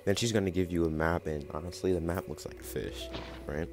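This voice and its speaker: Male game guide creator, low pitch, casual and chatty, music underneath. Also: a little fast. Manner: informal, chatty, addresses viewers as guys. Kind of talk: casual walkthrough commentary. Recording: music behind the voice. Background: game music and dialogue.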